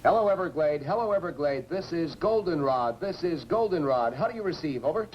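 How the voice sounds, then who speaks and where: A man's voice: a little high, lively intonation, fairly quick, lo-fi sound, natural voice. A man acting a practical, frustrated radio operator in a 1950s science fiction film. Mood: calling out. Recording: noisy old film soundtrack.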